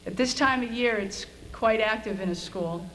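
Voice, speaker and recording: A woman's voice: fairly high pitch, normal speed, a bit bright. A middle-aged American woman, a school administrator, giving an informal, conversational commencement speech with a self-deprecating streak. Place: on stage at high school graduation. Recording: noisy event video with room ambience.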